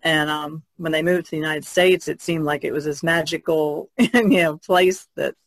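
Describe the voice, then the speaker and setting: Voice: mid-range voice, fairly even intonation, normal speed, a bit bright, no room sound. A podcast co-host chatting casually in a rambling way. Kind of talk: casual chat.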